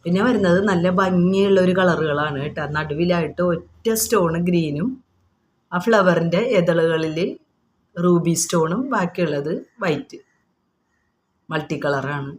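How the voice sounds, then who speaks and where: A woman's voice: lowish voice, unhurried, slight background noise. A woman with a Kerala accent informally showing products item by item, explaining each and repeating prices in an unscripted, repetitive way.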